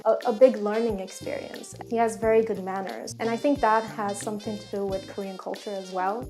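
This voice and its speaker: A young woman from Sweden, speaking in a thoughtful, measured way in an interview. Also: medium pitch, dark tone. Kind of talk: casual interview talk. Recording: faint music behind.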